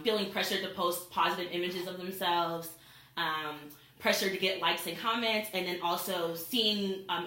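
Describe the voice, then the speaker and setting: A woman's voice: lowish voice, bright, forceful tone. A young adult woman, a college student, gives an informal persuasive speech to her classmates in a classroom, recorded by a camera across the room. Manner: informal, addresses classmates directly. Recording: echoey classroom.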